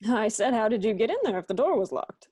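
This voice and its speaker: A woman's voice: a little high, expressive, fast, mellow, slightly rough, no room sound, studio quality. A woman playing a probing, sardonic police captain in an amateur radio-style mystery. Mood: wry, commanding. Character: brisk, wry police captain questioning suspects.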